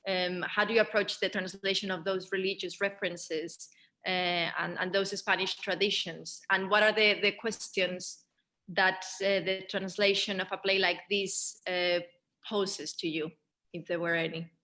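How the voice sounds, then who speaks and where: A woman hosts a panel discussion in a bright, forward voice of medium pitch. She asks her questions off the cuff, with hesitant pauses between phrases. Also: fairly even intonation. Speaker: Q&A moderator.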